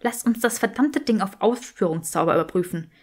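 A woman's voice: medium pitch, slightly rough, lightly acted. A woman voicing a determined, clever young witch in an audiobook, bossy and commanding. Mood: resolute. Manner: brisk, commanding.

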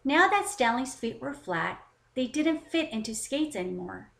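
A woman hosting a children's story time, narrating in an expressive, deliberate read-aloud style. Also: high-pitched, creaky. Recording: roomy, echoing voice recording.

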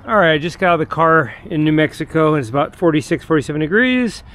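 A man's voice: a little high, animated, fast, fairly bright tone. A middle-aged man casually narrating his metal detecting outdoors in parks, thinking aloud with many fillers, recorded on an action camera. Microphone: action camera held or chest-mounted.

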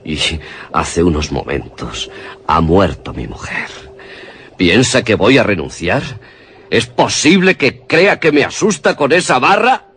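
A man's voice: on the low side, big pitch swings, measured pace, a bit bright, slight background noise. An actor playing an obsessed, menacing scientist and father in melodramatic, commanding suspense radio theatre. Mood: menacing, resolute.

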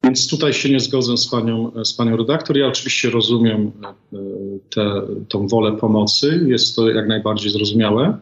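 A middle-aged man as an interview guest, giving a measured political analysis in a deliberate way with many hesitant pauses, heard over a video call. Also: on the low side. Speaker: guest, former ambassador. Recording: echoey, dull video call audio.

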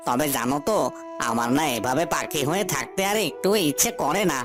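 A man voicing a gentle, sad prince turned bird in a fairy-tale cartoon, earnest and wistful. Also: medium pitch, expressive, fairly quick, over music, lightly acted.

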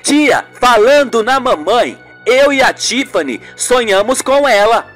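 A woman's voice: fairly high pitch, expressive, measured pace, faint music behind, pitched above the performer's own voice. A woman voicing an earnest, insistent young nephew with exclamatory questioning in a radio soap. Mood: casual. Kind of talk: amateur radio soap acting.

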